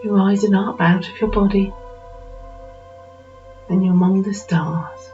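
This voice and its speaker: A woman with a dark, relaxed timbre and a middling pitch leads a guided meditation. She speaks soothingly in short, unhurried phrases with pauses between them. The recording is a little dull and lo-fi, with faint music behind her.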